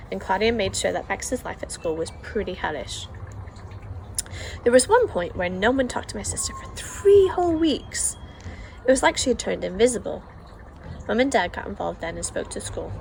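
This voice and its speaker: A woman's voice: mid-range voice, creaky, slight background noise, low-quality recording. A woman reading a children's novel aloud as the first-person narrator, telling the story expressively. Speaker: audiobook reader.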